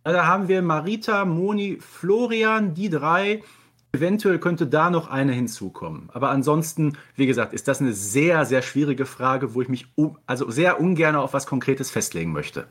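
A man's voice: on the high side, expressive, moderate pace, fairly bright tone, breathy edge. A man talking casually as a podcast guest, explaining things in detail.

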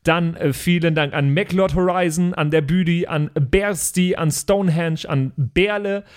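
A man's voice: on the high side, measured pace, clean tone. A man hosting a podcast, reading out thanks to supporters in a casual, playful way.

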